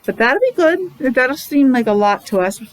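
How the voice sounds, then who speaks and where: A woman's voice: high voice, big pitch swings, normal speed, low-quality recording. Woman teacher with noticeable background noise. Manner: simple repetitive phrasing with frequent questions, sounding out letters. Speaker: preschool or special education teacher leading morning meeting. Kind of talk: interactive lesson for young children.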